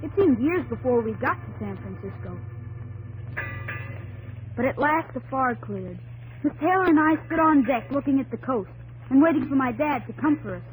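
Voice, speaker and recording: Brief: earnest young frontier lad narrating his tale, high light voice, music playing under him. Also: expressive, normal speed, long pauses, low-quality recording. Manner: earnest storytelling. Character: young man recalling the gold rush, earnest. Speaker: narrator of the story. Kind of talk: 1950s radio drama narration.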